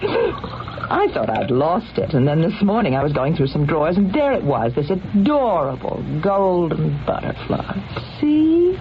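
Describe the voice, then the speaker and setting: A woman's voice: on the low side, huge pitch swings, measured pace. An actress playing a possessive, wealthy woman with a theatrical, coaxing and cutting manner in an old-time radio drama. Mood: coaxing, teasing. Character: imperious, possessive wealthy woman, affectionate then scolding. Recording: muffled, noisy old radio recording.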